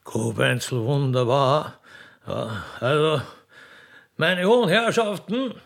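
A man's voice: mid-range voice, slow pace, vocal fry. A man playing a genial, befuddled old emperor with an Austrian accent, blustering and colloquial, in a radio play. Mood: pleased, grand. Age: older.

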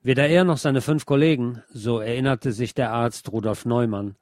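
A man's voice: mid-range voice, normal speed, darkish tone, no room sound. Man narrating history in a dry studio. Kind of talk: scripted history documentary narration.

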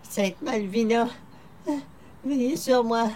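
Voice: medium pitch, slow pace, a little mellow, slight background noise, natural voice. An actor playing a hapless, weary churchgoer who speaks in a formal, plaintive, theatrical way in a radio comedy. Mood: despairing. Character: hapless, weary, pious churchgoer with formal old-fashioned speech. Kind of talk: old-time radio comedy acting.

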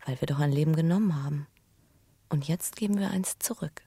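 Breathy, intimate young woman in radio drama. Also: low-pitched, no room sound, clean studio sound, exaggerated voice. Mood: hushed confession. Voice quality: breathy, often sinking to a whisper. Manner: hushed, confiding, deliberate phrasing. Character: young pregnant woman, earnest and intimate lover with a guilty past. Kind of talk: German radio drama acting.

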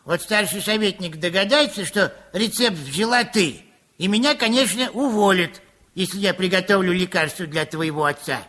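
A man playing a grumbling, soft-hearted old pharmacist in a Soviet radio play, fussy and full of sighs and complaints. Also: sits high, moderate pace. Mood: resigned grumbling. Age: older.

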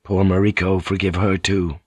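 A man's voice: deep voice, flat delivery, moderate pace, slightly rough, no room sound. A man voicing a weary, brooding ship's pilot in an audiobook, subdued and fatalistic. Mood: despairing, resigned. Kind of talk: dramatic audiobook character voice.

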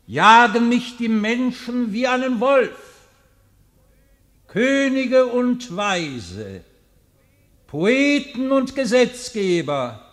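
Enigmatic hunted mastermind, radio drama, slow delivery, sweeping pitch, taunting, grandiose. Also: male voice, exaggerated voice. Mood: defiant, booming. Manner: theatrical, declamatory, swinging from murmur to booming proclamation. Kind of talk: German radio play acting.

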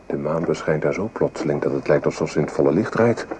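Impatient, scoffing French soldier. Radio play, deep rapid speech, faintly noisy recording. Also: male voice, animated. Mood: watchful. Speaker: radio drama actor.

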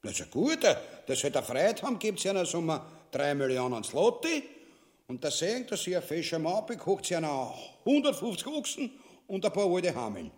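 A man's voice: a little high, big pitch swings, moderate pace. An actor with an Austrian accent playing a crude, greedy, miserly new king in a farcical radio play, coarse and blustering. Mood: greedy, gloating.

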